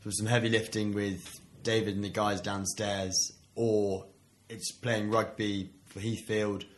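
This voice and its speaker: A man's voice: lowish voice, flat delivery, unhurried. A young man from England, an army officer, answering interview questions in a modest, easygoing way. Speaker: guest, army officer and runner. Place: small studio room above a gym.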